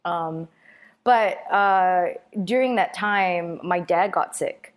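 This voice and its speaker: A woman in her thirties from Singapore, a podcast guest chatting in a rambling, halting way and laughing often. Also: fairly low pitch. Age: 30s. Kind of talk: casual chat.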